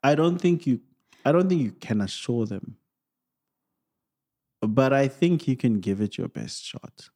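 A man co-hosting a relaxed Q&A with his wife, talking things through with rambling examples and playful asides. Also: medium pitch, unhurried, pauses often. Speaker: podcast co-host, singer. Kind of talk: casual couple Q&A chat.

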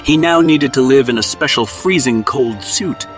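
A man's voice: mid-range voice, moderate pace, a bit bright, soft background music. A man narrating a scripted superhero story in a suspenseful, dramatic storybook style.

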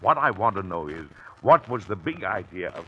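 A man's voice: deep voice, very animated, moderate pace, sharp tone, full character voice. An actor playing an irritable older president and father in an old-time radio drama, blustering in formal, old-fashioned speech. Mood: gruffly scolding. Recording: dull, hissy old-time radio recording with clicks.

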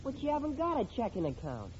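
A man's voice: very high-pitched, wildly swooping pitch, fast, darkish tone, heavily acted. A male actor playing an eager, cheeky schoolboy son in an old radio situation comedy, using gee-whiz boyish slang. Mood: hopeful, cheeky. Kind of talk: 1940s-50s radio situation comedy acting. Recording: dull old radio recording, faint noise.

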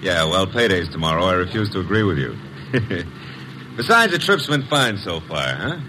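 An actor playing a wisecracking, brash detective's assistant in an old-time radio drama, sardonic and breezy. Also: male voice, low-pitched, big pitch swings, moderate pace. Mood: breezy teasing. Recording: noisy vintage radio recording.

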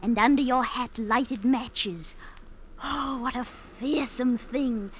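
Slow, languid young pirate bride. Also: female voice, on the high side. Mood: coaxing, commanding. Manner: languid, archaic, theatrical phrasing. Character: ambitious, bewitching sixteen-year-old island bride. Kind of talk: classic American radio drama acting. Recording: dull vintage radio recording, faint noise.

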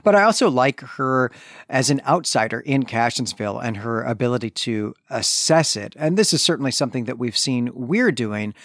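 A man's voice: mid-range voice, lively intonation, moderate pace, fairly bright tone, no room sound. Articulate man recapping fiction, close dry sound. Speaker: podcast co-host. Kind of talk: literary recap and discussion.

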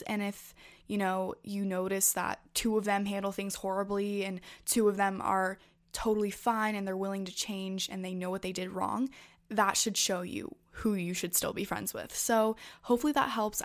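Young woman doing a casual advice vlog, chatty and reassuring, lots of filler words. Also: medium pitch. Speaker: advice vlogger. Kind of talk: casual advice chat, reading viewer messages.

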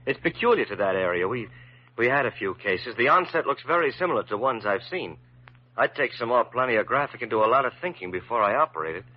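Quick-talking, earnest young doctor, acted by a man. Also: medium pitch, expressive, lightly acted. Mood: insistent. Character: earnest, self-doubting young male doctor. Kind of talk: 1940s radio acting. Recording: dull vintage radio recording.

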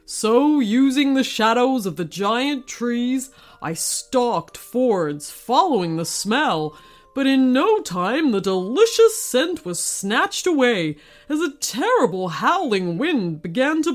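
A woman voicing a friendly, homesick male T-Rex in a slow storybook telling. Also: fairly high pitch.

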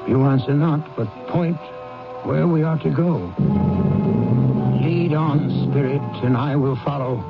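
An actor playing a frightened, repentant old miser in a theatrical 1970s radio drama, speaking formal, halting lines. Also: male voice, a little high, fairly even intonation, gravelly. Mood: awed dread. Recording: dull 1970s radio recording with music.

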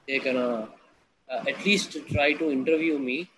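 A man's voice: medium pitch, moderate pace. Young man from India, student, hesitant, fillers, noisy background. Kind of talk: casual conversation practice.

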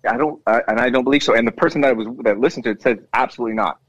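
A man with a bass voice in a casual discussion, talking with passion and repeating himself when he cuts in. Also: very fast, dry recording. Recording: dull, dry lo-fi sound.

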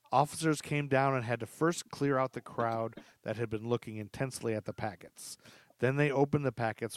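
A man's voice: medium pitch, no echo. A male podcast host narrates in a mid-range voice, moving between reading from notes and casual chat. He is informal and drops in joking asides. The sound is dry, with very little room in it. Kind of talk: true crime storytelling, part read from notes, part casual chat.

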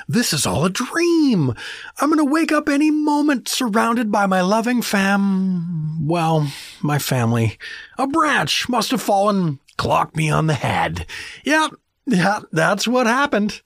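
A man's voice: sits high, huge pitch swings, slow, fairly bright tone, no room sound, studio quality, exaggerated voice. A man playing a bewildered, awkward middle-aged average dad in a comedic audio drama, hesitant and rambling. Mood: dazed, rattled. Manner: hesitant, rambling, easily flustered.

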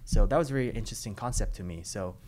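A young man, an actor who grew up speaking Cantonese and English, answering interview questions in an earnest, relaxed way with fillers. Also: lowish voice, rapid-fire.

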